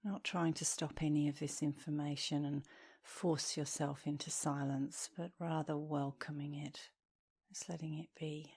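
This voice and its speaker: A woman leading a guided meditation as an instructor, speaking softly and soothingly, with gentle, breathy phrase endings. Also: sits low, level tone. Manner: soothing, gentle, unhurried with long pauses.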